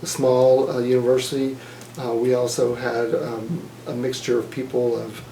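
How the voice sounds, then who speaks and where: A man in his fifties from Mississippi, giving an oral history interview in a measured, thoughtful way with frequent fillers and restarts. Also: mid-range voice, completely flat delivery, reverberant, background noise. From Mississippi, USA. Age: 50s.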